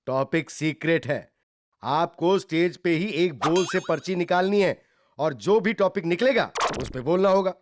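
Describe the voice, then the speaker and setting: A man's voice: on the high side, animated, measured pace, a little hiss or hum. A man voicing a jovial, chatty male school teacher addressing pupils in a cartoon. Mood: matter-of-fact. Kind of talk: Hindi kids' cartoon dubbing.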